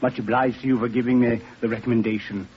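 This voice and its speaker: A man acts a courteous, confident private detective in a vintage radio mystery. The voice is mid-pitched and earnest, on a dull recording with faint noise. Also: little pitch movement, fast, lightly acted. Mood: deferential. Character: polite, deferential, confident male private detective. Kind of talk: 1940s radio acting. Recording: muffled, hissy 1940s radio recording.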